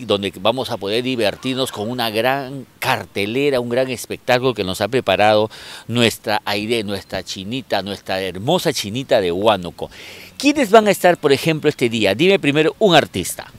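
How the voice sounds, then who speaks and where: A man's voice: mid-range voice, expressive, normal speed. A man from Pucallpa with a Peruvian accent, hosting a chatty entertainment interview in a familiar, teasing way full of diminutives. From Pucallpa, Peru. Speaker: interviewer, show host.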